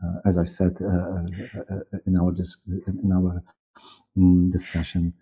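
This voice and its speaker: A man in his forties with a Polish accent, giving halting interview answers as he searches for words and repeats himself. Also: deep voice, monotone, mellow, breathy edge. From Poland. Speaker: guest, audio drama director and producer. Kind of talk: unscripted interview talk. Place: dark room, on a video call. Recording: muffled phone-like video-call audio.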